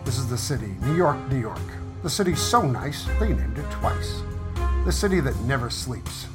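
A woman playing a deadpan, hard-boiled office worker who talks like a 1940s radio detective in a comedy. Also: rumbling low, normal speed, loud music behind the voice. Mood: deadpan narrating. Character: deadpan hard-boiled cop-show parody office worker Joe Friday.

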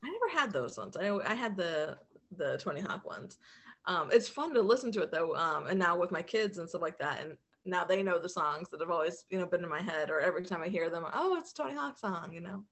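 Laid-back woman with lively, swinging intonation. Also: on the low side, brisk. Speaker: podcast co-host. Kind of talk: casual chat.

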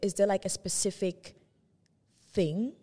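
A woman interviewing a guest, encouraging and halting, often repeating words as she forms her questions. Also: medium pitch, warm tone, big-room sound. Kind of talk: conversational interview.

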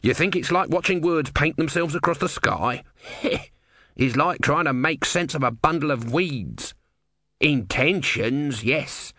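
A man's voice: on the high side, animated, measured pace, bright, forceful tone, no echo, pitched above the performer's own voice, full character voice. A grumpy little tortoise god, acted out by a male audiobook reader, snappish and full of complaint. He sounds sardonic and irreverent, close and dry on the microphone. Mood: snappish, scornful.

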